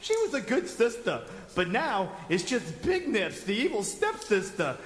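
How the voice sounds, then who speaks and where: A man's voice: high-pitched, wildly swooping pitch, a little slow, heavily acted. A man playing a crazy, skinny captive wizard in a comedic audio drama, theatrical and teasing. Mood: dramatic, ominous. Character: crazy skinny bearded captive wizard, eccentric last tribesman. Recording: very echoey, long stone-cell reverb.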